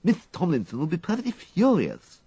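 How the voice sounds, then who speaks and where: A man's voice: fairly high pitch, huge pitch swings, a little fast, mellow, slightly rough, dry recording, voice pushed up, heavily acted. A man voicing a twelve-year-old English schoolgirl in a storybook, cheeky and gushing in her chatter. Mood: resigned worry.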